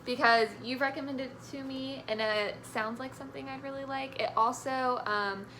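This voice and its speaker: A young woman with a fairly high voice joins a friend for an informal book discussion. She gives her views in a tentative, conversational way. There is clear noise behind her. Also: fairly even intonation, normal speed, pushed and bright. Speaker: guest, friend of the vlogger. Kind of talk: casual book chat. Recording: clearly noisy room recording.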